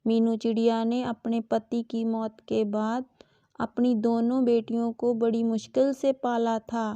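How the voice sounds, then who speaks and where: A woman narrating a scripted children's story in a measured, even storytelling manner. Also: fairly high pitch, no echo, dull audio.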